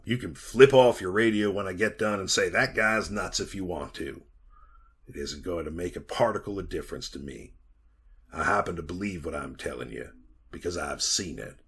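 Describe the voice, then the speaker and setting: Actor as a low, husky, haunted storyteller. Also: male voice, fairly bright tone, full character voice. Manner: brooding, deliberate, dramatic pauses. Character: haunted, bitter man recounting being lost in a mine. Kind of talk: retro 1940s radio drama narration.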